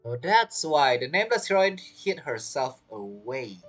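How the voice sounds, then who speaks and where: A woman's voice: a bass voice, sing-song, a little slow, soft background music. A woman giving casual reaction commentary as she plays through a video game story. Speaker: gameplay streamer.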